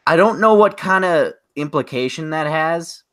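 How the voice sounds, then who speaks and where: A man's voice: a little high, lively intonation, normal speed, a bit bright, no echo. Casual podcast guy, dry close sound. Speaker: podcast co-host. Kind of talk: casual opinionated chat about movies and games.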